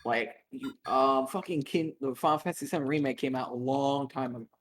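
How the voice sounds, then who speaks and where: A man in his thirties streaming a game and chatting with friends, excitable and quick to swear and laugh. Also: mid-range voice, soft background music. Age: 30s. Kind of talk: casual gaming stream chat. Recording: game noise clearly behind.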